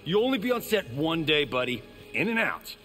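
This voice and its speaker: Wisecracking male film star, high and animated, flippant game banter in long reverb. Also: a little fast, music in the background. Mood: cocky, flippant. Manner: flippant, showy. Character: cocky, wisecracking vain male movie star fighter. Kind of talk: video game fight intro dialogue.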